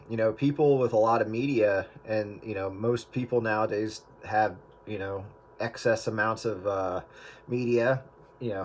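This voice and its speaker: A man hosting a casual radio chat, explaining in a relaxed way with frequent fillers. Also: fairly low pitch, slight background noise. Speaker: radio show host. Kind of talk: casual chat about converting old media.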